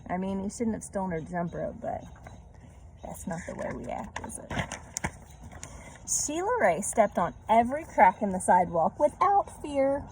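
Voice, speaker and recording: A woman's voice: medium pitch, very animated, moderate pace, background noise. A woman reading a children's story aloud, adding chatty asides and little questions to her listeners. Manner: checks in with listeners using tag questions.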